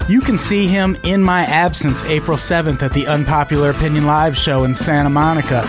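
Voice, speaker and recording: A man's voice: a little high, fairly even intonation, fairly quick, over music. A man hosting a podcast, joking and teasing his guests in casual banter, swearing often. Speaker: podcast host.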